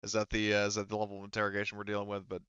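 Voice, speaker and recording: A man working as game master, narrating a tabletop game in a casual, improvised, halting way. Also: fairly low pitch, monotone, a bit bright, clean tone, no room sound, lo-fi sound. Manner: halting, conversational.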